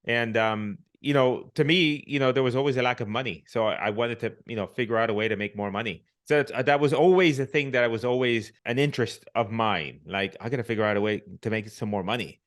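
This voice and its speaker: A man's voice: medium pitch, fast, hardly any pauses. A middle-aged man from Puerto Rico, a podcast guest, telling his life story in casual, reflective talk with frequent fillers and restarts. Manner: reflective, many restarts and "you know" fillers.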